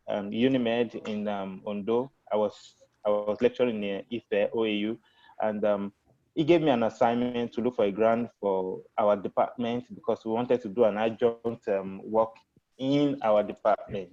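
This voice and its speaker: Nigerian man, low mellow voice, measured panel talk with pauses, slightly dull call audio. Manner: hesitant, reflective, many fillers. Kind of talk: panel discussion.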